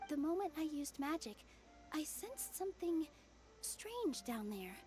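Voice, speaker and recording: Sweet young fairy tale game heroine, high gentle voice, earnest delivery. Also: female voice, sing-song, measured pace, soft background music. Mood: gentle, thoughtful. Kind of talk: video game character acting.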